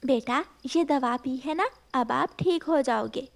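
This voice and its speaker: A woman's voice: high-pitched, measured pace, vocal fry. A woman voicing a caring, protective mother sparrow in a cartoon with a motherly manner. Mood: soothing. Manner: affectionate, motherly.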